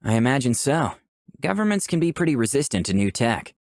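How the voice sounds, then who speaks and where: Animated, playful player reading aloud, dry sound. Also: medium pitch, fairly quick, no room sound. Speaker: player voicing the protagonist and narration. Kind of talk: playthrough of a visual novel, reading player lines aloud.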